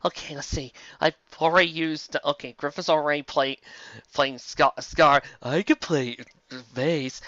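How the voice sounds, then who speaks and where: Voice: mid-range voice, steady tone, measured pace, a bit bright, no echo, dull audio. A theatrical, exasperated host giving a comic dramatic reading of fan fiction, with asides.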